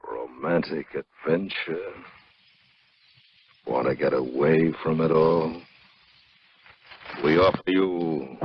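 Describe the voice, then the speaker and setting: An actor playing an ominous, solemn announcer in a 1950s adventure radio drama. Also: male voice, rumbling low, slightly rough, no room sound, full character voice. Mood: brooding, inviting. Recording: muffled vintage 1950s radio, music behind.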